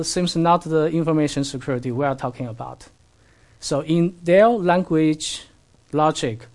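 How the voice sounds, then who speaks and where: A man whose first language is Chinese, giving an academic lecture in halting English with many fillers and asking the audience questions. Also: a little high. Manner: halting non-native English with frequent fillers.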